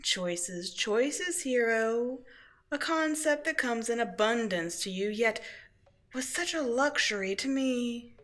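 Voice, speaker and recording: A scheming female supervillain for an audio drama. She is high and bright, grandiose and scornful, and she draws out her taunts with sweeping emphasis. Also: lively intonation, slow pace. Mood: gloating, taunting. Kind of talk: scripted audio roleplay acting.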